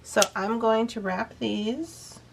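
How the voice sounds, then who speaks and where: Gravelly-voiced woman demonstrating beadwork. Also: a little high, slow pace, background noise. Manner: chatty, easygoing, talks while working. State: sniffly. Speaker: beading tutorial host. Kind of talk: casual step-by-step craft demonstration.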